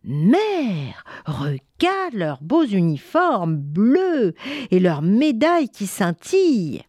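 A woman's voice: fairly low pitch, very animated, a little slow, a little mellow, dry recording, studio quality. A woman storyteller voicing an eager, restless young peasant son who exclaims and pleads.

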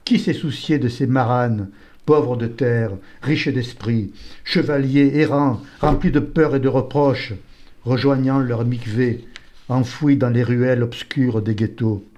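A man reading his own poetic first-person text aloud in slow, measured phrases. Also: medium pitch, steady tone, darkish tone.

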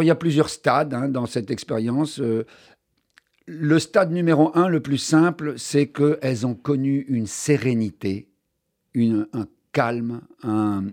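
An older man, a journalist and author, telling his story in an interview with lively emphasis and frequent restarts. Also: medium pitch, unhurried. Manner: animated storyteller, many restarts, stressed key words. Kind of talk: conversational interview.

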